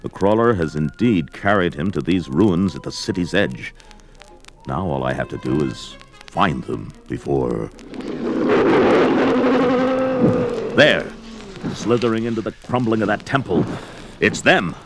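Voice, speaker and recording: An actor playing a gruff, domineering barbarian hero, bold and commanding, declaiming in archaic 1970s fantasy audio drama style. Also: male voice, low-pitched, animated, normal speed. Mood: grudging resolve. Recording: music behind the voice.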